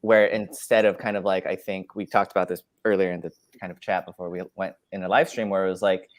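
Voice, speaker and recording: A man's voice: sits low, little pitch movement, fast, no room sound. A man in his twenties with a Californian accent, answering casually and reflectively in a rambling, tentative way on a video call. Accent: Californian American. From San Francisco Bay Area, US. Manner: rambling, tentative, peppered with "like" and "kind of". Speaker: filmmaker, panel guest. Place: dim room at home.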